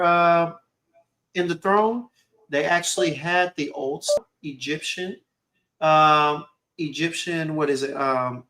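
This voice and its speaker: A male host speaks with a slightly high voice in an easygoing conversation. He rambles and stacks up fillers like "whatnot" and "you know", with lively, animated energy. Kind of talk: casual conversation.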